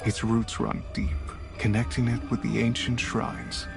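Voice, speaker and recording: An actor playing a solemn, gentle older village mentor in a video game, speaking in a measured, grave and instructive way. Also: male voice, mid-range voice, completely flat delivery, vocal fry, music in the background. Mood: solemn, reverent. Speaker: mentor guiding a young spirit guide. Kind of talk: video game cutscene acting.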